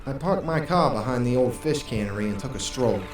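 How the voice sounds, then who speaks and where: A man's voice: mid-range voice, expressive, fairly quick, slight background noise, natural voice. A man playing a hard-boiled, world-weary 1940s private investigator in a noir radio drama, with a wry, brooding manner. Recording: roomy, distant microphone, music underneath.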